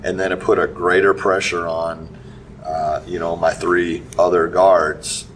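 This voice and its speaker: A middle-aged man, a basketball coach giving a halting post-game interview full of restarts and fillers. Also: low voice, flat delivery, a bit bright. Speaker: high school basketball coach. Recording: distant mic with faint background noise.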